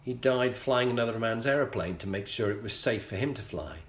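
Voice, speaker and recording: A middle-aged man with a Yorkshire accent, telling a personal story as a show host in an earnest, measured way with frequent pauses. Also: medium pitch, a little hiss or hum. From England. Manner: earnest, measured, pausing often. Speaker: leadership coach and show host. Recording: echoey room.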